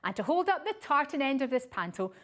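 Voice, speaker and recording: A woman presenting a current affairs show, reading scripted introductions and messages in a measured presenter's delivery. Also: high-pitched, very animated, a bit bright.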